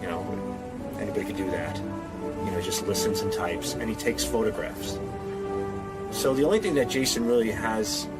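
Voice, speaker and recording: Man talking casually over loud music. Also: fairly low pitch, moderate pace, pauses often. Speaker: radio show staff member. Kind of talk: casual interview answers.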